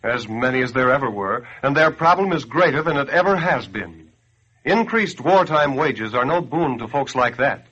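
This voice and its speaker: A man's voice: medium pitch, animated, normal speed, pushed and bright, slightly rough. An American man announcing in 1940s radio style, delivering a scripted appeal in an earnest, declamatory, persuasive way. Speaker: radio announcer and campaign spokesman. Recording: dull grainy 1940s radio broadcast.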